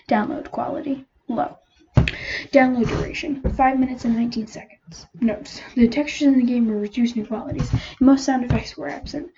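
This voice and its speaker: A woman with a fairly high voice narrates from a written document. Her reading is halting and she often stumbles on numbers. The recording is dull and lo-fi, with clear noise behind her.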